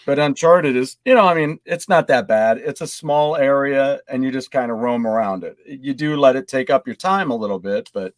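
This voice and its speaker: A man's voice: mid-range voice, normal speed, few pauses, no room sound. A man chatting casually as a podcast panelist, easygoing and joking.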